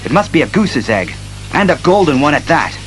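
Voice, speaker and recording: A man playing a stern, analytical masked detective, speaking resolutely and deliberately in a superhero audio drama. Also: fairly high pitch, very animated, faint music behind, low-quality recording. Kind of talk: superhero radio drama acting.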